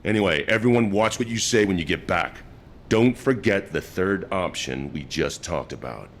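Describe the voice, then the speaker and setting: A man's voice: sits low, animated, normal speed, slight background noise. An actor playing a blunt, practical male squad leader. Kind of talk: game cutscene voice acting.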